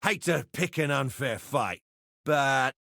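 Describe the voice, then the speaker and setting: Game fighter: cocky, combative, creaky gravel, unhurried punchy barks, dry recording. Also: male voice, medium pitch, animated, sharp tone, dry recording, full character voice. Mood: taunting. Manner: taunting, punchy short barks. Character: cocky, menacing arena brawler relishing violence.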